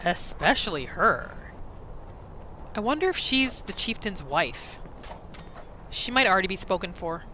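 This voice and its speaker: A woman narrating a role-play gaming video, musing aloud about her character in an expressive, questioning way. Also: mid-range voice, a little slow, long pauses, a little hiss or hum.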